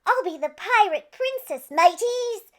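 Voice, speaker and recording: Storybook role: young girl pirate leader, voiced by a woman, high, exclamatory, very animated. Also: unhurried. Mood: excited, commanding. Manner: exclamatory, calling out. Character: excitable young girl leading pretend pirates.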